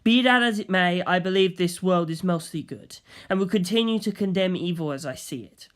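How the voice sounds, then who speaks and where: Male audiobook narrator, somewhat high register, first-person fiction read from a script. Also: moderate pace, fairly bright tone.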